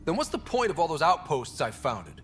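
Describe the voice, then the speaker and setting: Earnest, expressive young space leader. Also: male voice, moderate pace, faint music behind. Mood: concerned. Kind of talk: video game dialogue acting. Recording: faint background rumble.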